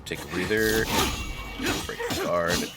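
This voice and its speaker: Deep-voiced man giving wry gaming commentary. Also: takes it slowly. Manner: wry, playful banter with game characters. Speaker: gaming video host. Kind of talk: casual joking let's play commentary, reading game text aloud.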